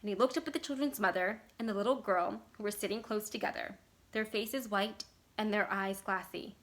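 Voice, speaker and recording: A woman reads literary fiction aloud in a bright, forceful voice of medium pitch. It is scripted narration, delivered as a storyteller would. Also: fairly quick. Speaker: library staff member reading a story aloud.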